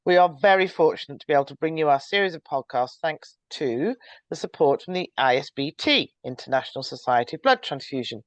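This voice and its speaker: A woman in her forties or older hosting a podcast, reading a measured, scripted introduction before interviewing a guest. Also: sits low, no room sound, highs cut off. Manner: clear, measured, reads from a script. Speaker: podcast host, transfusion practitioner.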